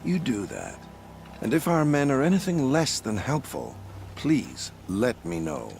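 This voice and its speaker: An actor playing a smooth, self-assured military prefect in a video game, speaking with polished, formal politeness and feigning innocence. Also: male voice, mid-range voice, wildly swooping pitch, a little slow, darkish tone, faint music behind, full character voice. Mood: smooth, evasive. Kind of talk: video game dialogue acting.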